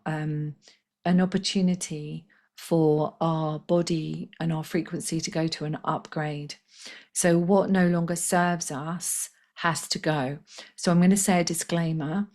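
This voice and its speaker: A woman with a slightly croaky voice, hosting a live spiritual healing session in a gentle, chatty way and reading out viewers' comments. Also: low voice. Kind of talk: casual live-stream talk.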